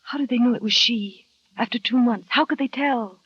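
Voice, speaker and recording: A shy, anxious young wife. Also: female voice, fairly high pitch, normal speed, slightly rough. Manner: hesitant, earnest, wistful narration. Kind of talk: 1940s radio acting. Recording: dry, dull vintage radio recording.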